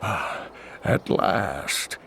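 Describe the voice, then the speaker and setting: An actor playing an old male scholar who tells lore in a slow, measured way. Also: a bit bright, a little hiss or hum. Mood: grave. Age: older.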